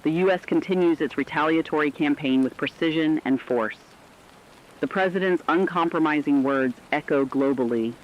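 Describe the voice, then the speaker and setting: A man playing a stern, resolute war correspondent who delivers scripted radio news in a formal, measured, earnest way. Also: fairly high pitch, dull audio, lightly acted. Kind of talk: scripted dramatic news narration.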